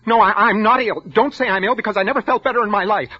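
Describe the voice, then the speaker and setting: An actor playing a meek, touchy, hesitant bookkeeper in an old radio drama, recorded with muffled vintage sound. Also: male voice, high-pitched, quick pace. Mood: agitated, defiant. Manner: hesitant, stammering, defensive. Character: meek, jittery, touchy male bookkeeper, henpecked daydreamer. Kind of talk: 1940s radio drama acting with first-person narration.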